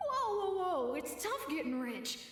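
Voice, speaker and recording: A woman's voice: very high-pitched, very animated, measured pace, fairly bright tone, heavily acted. A woman voicing a boastful little boy who wants to get rich. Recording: echoey distant flashback.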